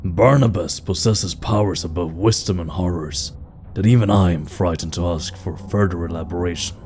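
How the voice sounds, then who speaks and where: A man playing a deep, stately fantasy king. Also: a little mellow, close to an everyday voice. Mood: solemn. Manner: stately, formal, deliberate. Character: proud, grave troll king of stone folk. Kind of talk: fantasy audio drama acting. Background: background music.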